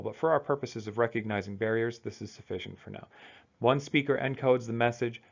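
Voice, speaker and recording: An American man lectures to students in a relaxed, conversational manner, pausing and restarting as he thinks aloud. His voice has a gravelly, creaky edge, and the recording sounds dull and lo-fi. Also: mid-range voice. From United States. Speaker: college instructor.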